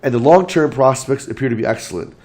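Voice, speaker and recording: Guy going hoarse from being sick, walking through his points one by one, mellow dark tone. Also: medium pitch, expressive. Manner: measured, lays out points in order. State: losing his voice from illness. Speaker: crypto channel host. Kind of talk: explaining his market outlook.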